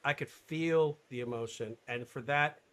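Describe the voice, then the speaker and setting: A man hosting a live webinar interview, talking in an animated, emphatic way and laughing often. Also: medium pitch, measured pace. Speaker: webinar host.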